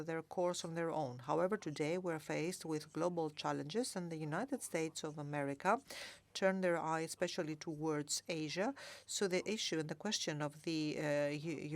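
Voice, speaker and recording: A woman working as a conference interpreter, rendering a Greek speech into English in real time with frequent fillers and halting restarts. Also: low voice.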